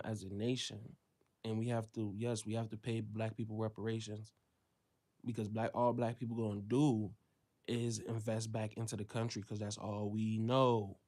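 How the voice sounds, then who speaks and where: A man delivering a profane, ranting monologue, dropping to a low mutter and then jumping to loud, high exclamations. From United States. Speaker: commentator.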